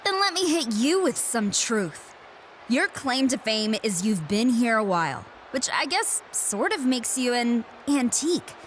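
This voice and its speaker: A woman's voice: fairly high pitch, sing-song, a little slow, a little hiss or hum. A woman playing an arrogant, sneering wrestling champion in a game, with a smug, sarcastic and cutting delivery. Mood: mocking. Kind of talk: scripted sports-entertainment promo.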